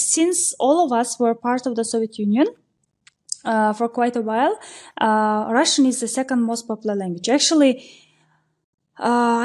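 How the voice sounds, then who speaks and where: Woman from kyrgyzstan, tour operator guest, highish mellow voice, lots of uhs, chatty explaining. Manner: explanatory, many fillers, steady flow. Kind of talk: conversational interview answers. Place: podcast recording.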